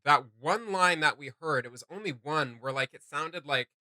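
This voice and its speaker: A man hosting a casual reaction video, giving gushing, slangy commentary in a halting flow. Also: a little high, sharp tone, no echo.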